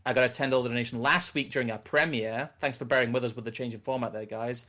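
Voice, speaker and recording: A man's voice: medium pitch, fairly even intonation, fast, sharp tone. A man from the North of England with a neutral English accent, co-hosting a casual political podcast in a rambling, self-correcting way. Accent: General English. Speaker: podcast co-host.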